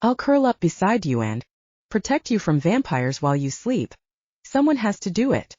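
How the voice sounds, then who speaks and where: A woman's voice: mid-range voice, very animated, moderate pace, warm tone, no room sound, highs cut off. A woman voicing a sweet, playful young woman in love in an audiobook. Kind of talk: audiobook character dialogue.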